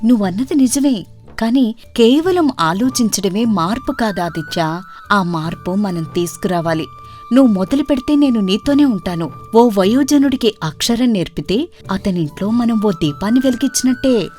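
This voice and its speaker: Lady acting young village girl friend in a story, supportive n urging, mid pitch, music behind. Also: lively intonation, normal speed, darkish tone, lightly acted. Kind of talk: acted dialogue in a moral story. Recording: music bed under the voice.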